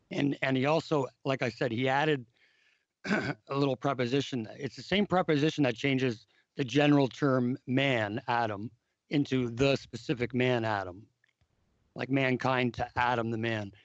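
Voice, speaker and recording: Hoarse male host, halting restarts, dry dull lo-fi audio. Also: medium pitch, no room sound. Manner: repeats words and restarts often. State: hoarse, lost his voice. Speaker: podcast host. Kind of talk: casual discussion of biblical calendar history.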